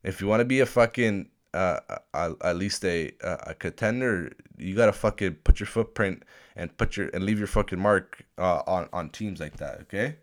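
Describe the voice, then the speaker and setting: A very deep-voiced man with a blocked, stuffy nose rants alone into a desk mic. He swears nearly every sentence and rambles from thought to thought in an excitable, casual way. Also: moderate pace. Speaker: podcast host. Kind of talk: casual solo rant. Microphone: desk microphone at a laptop.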